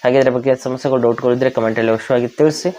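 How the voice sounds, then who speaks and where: A man's voice: mid-range voice, fairly even intonation, very fast, dark tone. A man from Karnataka with an Indian accent, hosting a step-by-step app tutorial. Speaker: tech tutorial host. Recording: dull, lo-fi voice recording.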